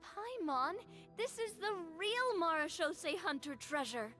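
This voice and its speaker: A woman voicing an earnest young adventurer in a video game, pondering aloud in a deliberate, drawn-out way. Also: extremely high pitch, big pitch swings, pushed and bright, full character voice. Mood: realizing. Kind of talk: English video game voice acting.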